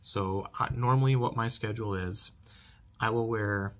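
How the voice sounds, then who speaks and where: A man's voice: lowish voice, steady tone, normal speed, fairly bright tone. A man in his thirties giving a casual product review to camera, repeating emphatic stock phrases, recorded at about arm's length in a small bedroom. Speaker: product reviewer, channel host. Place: small furnished bedroom, indoors.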